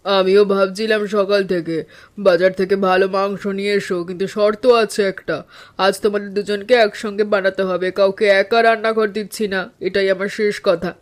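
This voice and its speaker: A man voicing a calm, wise elderly mother-in-law in a cartoon, speaking in an even, advising tone. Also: high-pitched, fairly quick, close to an everyday voice. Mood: gentle, advising. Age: older. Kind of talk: cartoon voice acting.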